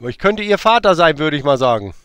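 A man's voice: a little high, very animated, brisk, fairly bright tone, clean tone, no echo. A man in his late fifties on a phone call, playful and stalling, full of hesitations and teasing asides. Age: 50s.

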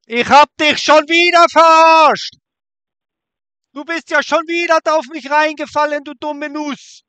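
High, bright-voiced mocking man. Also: fairly even intonation, a little slow, no room sound. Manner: mocking, taunting, crude. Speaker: scam baiter. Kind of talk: prank phone call. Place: car in a forest.

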